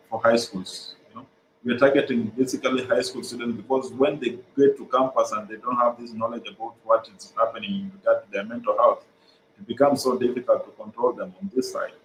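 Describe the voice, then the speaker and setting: A young Kenyan man whose first language is Swahili, earnestly explaining his organization's programs over a video call, with frequent "uh" pauses. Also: mid-range voice, echoey room, slight background noise, poor audio. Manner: earnest, many "uh" pauses, repeats phrases. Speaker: guest, mental health organization co-founder.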